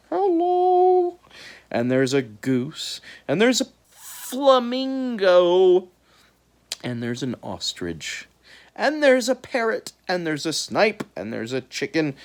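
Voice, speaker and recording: A woman reading a children's book aloud in an animated, dramatic storytelling style. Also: rumbling low. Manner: dramatic, expressive delivery.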